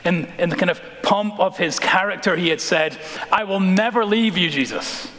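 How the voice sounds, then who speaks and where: An American man in his thirties preaching a sermon as a pastor, dramatic and emphatic, with deliberate pauses and repeated phrases, in a large church. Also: high-pitched, fairly bright tone, a little hiss or hum. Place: large church sanctuary, indoors, preaching from the stage. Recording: reverberant church hall.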